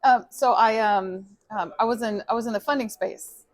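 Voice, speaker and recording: A woman's voice: fairly high pitch, moderate pace. A middle-aged woman from Cuba who grew up in Texas, a guest telling her story in a casual interview, chatty, with many ums and restarts. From Cuba, raised in Texas. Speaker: guest, media company founder.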